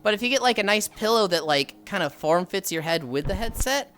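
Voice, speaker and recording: A woman's voice: medium pitch, expressive, brisk, a bit bright. A woman in her thirties chatting casually on a livestream. She sounds tired and sardonic, sighs often, and swears freely. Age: 30s. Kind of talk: casual stream chat.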